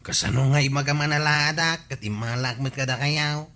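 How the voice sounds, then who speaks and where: Grumpy, high-pitched Ilocano village man. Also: fairly even intonation, moderate pace, sharp tone. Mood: scolding. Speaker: actor in a radio comedy drama. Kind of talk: Ilocano comedy radio drama acting.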